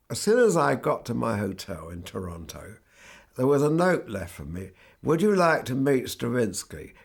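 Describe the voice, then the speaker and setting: An older man, a guitarist, telling anecdotes from his life in a relaxed, wry, conversational way. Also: mid-range voice, gravelly, husky. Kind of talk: reflective anecdotal storytelling.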